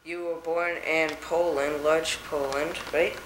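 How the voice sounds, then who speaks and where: Young kid interviewer reading his questions, higher voice, roomy sound. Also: level tone, slow pace, fairly bright tone, slight background noise. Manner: reads short prepared questions. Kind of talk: school project interview.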